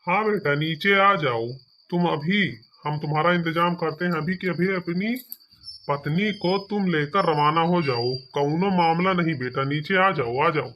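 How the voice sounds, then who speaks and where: A man's voice: on the high side, measured pace, highs cut off, lightly acted. A man acting a bossy village police officer in a cartoon comedy, commanding. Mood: coaxing, urgent. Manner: authoritative, commanding.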